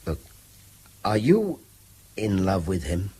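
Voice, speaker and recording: An actor playing a stern, educated middle-aged English headmaster, formal and lecturing, in a British radio drama. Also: male voice, deep voice, big pitch swings, takes it slowly, dark tone, a little hiss or hum, full character voice. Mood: blunt. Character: stern, educated male headmaster figure, commanding and concerned.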